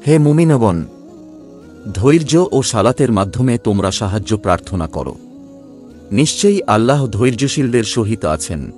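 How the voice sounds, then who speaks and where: A man narrating a scripture translation in a formal, solemn scripted reading with measured phrasing. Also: mid-range voice, expressive, darkish tone, faint music behind.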